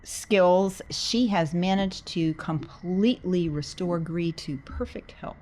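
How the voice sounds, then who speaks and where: A woman's voice: lowish voice, slow, darkish tone, slight background noise. A woman talking casually as a player in a tabletop roleplaying game.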